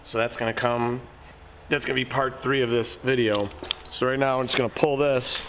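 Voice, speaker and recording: A hoarse man giving a casual, folksy walkthrough of his garage door repair work. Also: medium pitch, fairly even intonation, moderate pace, background noise. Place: underground parking garage. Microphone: handheld phone camera.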